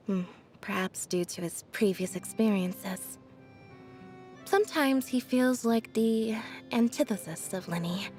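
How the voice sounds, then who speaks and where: A woman voicing a quiet, deadpan young magician's assistant in a video game, speaking in a reserved, measured, softly detached manner. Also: medium pitch, close to an everyday voice. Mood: quietly thoughtful. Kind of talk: scripted video game character voice lines.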